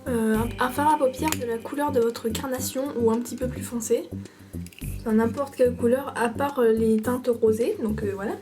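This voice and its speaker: A woman's voice: fairly high pitch, steady tone, normal speed. Chatty woman with a cold, music behind. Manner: hesitant, many fillers, playful parody tone. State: stuffy with a cold. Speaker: makeup vlogger. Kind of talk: casual makeup tutorial.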